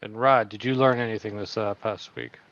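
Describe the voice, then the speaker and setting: A man's voice: lowish voice, a little fast, dull audio. A man co-hosting a podcast, telling stories animatedly and putting questions to the others. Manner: animated storytelling, asks guests questions. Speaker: co-host. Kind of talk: casual podcast chat.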